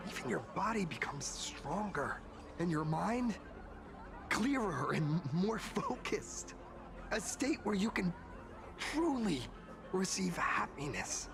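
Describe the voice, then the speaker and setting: A voice actor playing a nervous cult insider in a video game, stammering and halting. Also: medium pitch, big pitch swings, creaky, over music, exaggerated voice. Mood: uneasy.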